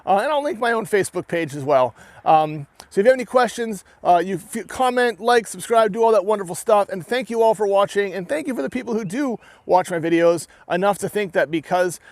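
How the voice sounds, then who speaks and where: A man's voice: sits high, animated, fairly quick, few pauses, no echo. A young adult man with a New Hampshire accent, an armored combat fighter giving a casual, earnest rant to his video audience with many restarts. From New England. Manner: rambling and earnest, with frequent restarts and "right?" tags. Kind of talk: casual talking-to-camera rant.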